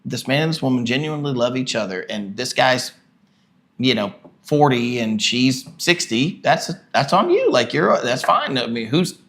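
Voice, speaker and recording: A man in his forties, a pastor co-hosting a podcast with his wife, musing in relaxed casual chat and laughing often. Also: mid-range voice, moderate pace. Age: 40s.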